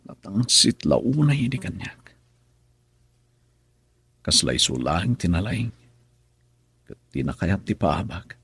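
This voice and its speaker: An Ilocano actor playing a brooding, regretful husband who drinks in a radio drama, with a gravelly, husky voice. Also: male voice, low-pitched, big pitch swings, slow, pauses often, a bit bright, no room sound, heavily acted. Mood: brooding, wistful. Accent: Filipino, Ilocano. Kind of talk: Ilocano radio drama acting with inner monologue.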